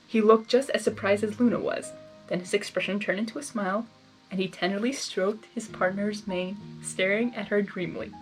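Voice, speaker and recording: A woman reading fan fiction aloud in expressive storybook narration, with informal asides. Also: medium pitch, normal speed, faint music behind, low-quality recording.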